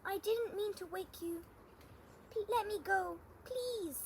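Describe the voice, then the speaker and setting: Woman voicing a tiny squeaky, pleading mouse. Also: slow pace, a little hiss or hum, full character voice. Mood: frightened pleading. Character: tiny male mouse, pleading, earnest and polite.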